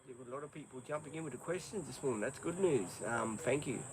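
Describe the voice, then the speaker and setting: An Australian man in his fifties talks casually on a live stream, rambling and hesitant, filling gaps with ums. He is outdoors, with crickets and insects chirping clearly behind him. His pitch sits in the ordinary middle. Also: animated, quick pace, a little hiss or hum, rough recording. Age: 50s. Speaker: livestreamer.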